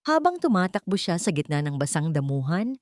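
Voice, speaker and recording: Woman telling a dramatic love story, lowish voice, dry close sound. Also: very animated, fairly quick, a little mellow, no room sound. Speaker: story narrator. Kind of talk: dramatic scripted narration of a romance drama.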